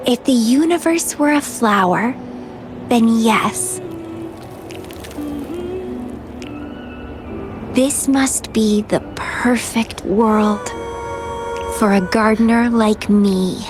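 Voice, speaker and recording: Playful, unhurried young enigmatic woman. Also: a little high. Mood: dreamy, devoted. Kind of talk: video game character acting. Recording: music behind the voice.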